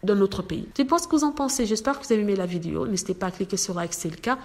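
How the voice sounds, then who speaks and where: A woman's voice: medium pitch, expressive, rapid-fire, darkish tone. A woman vlogger talking straight to her viewers in casual commentary, indignant and emphatic.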